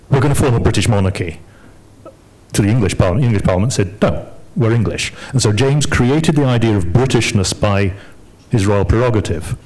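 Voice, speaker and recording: A man's voice: fairly low pitch, a little mellow, poor audio. A man from Scotland, a historian speaking on an academic panel in a lecturing, digressive, emphatic way.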